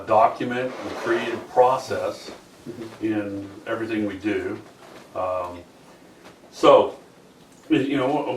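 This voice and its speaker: A man organizing an informal group meeting, explaining haltingly. His voice is picked up at a distance in a roomy space, with a faint noise underneath. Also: lowish voice. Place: indoor meeting room.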